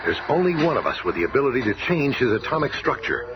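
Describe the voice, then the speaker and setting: An actor playing a calm, earnest male scientist team leader explaining things in a 1970s superhero radio drama. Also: medium pitch, fast, lightly acted. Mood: measured, assured. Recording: muffled, very noisy radio recording.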